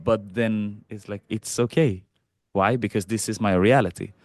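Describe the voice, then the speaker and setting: Low-pitched man, reflective and halting. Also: steady tone, no room sound. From Sweden. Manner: halting, repeats phrases. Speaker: podcast participant. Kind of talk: reflective casual conversation.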